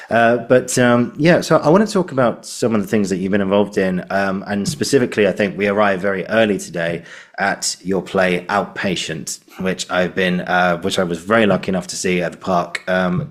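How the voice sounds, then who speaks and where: Deep-voiced man hosting. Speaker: podcast host. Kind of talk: conversational interviewing.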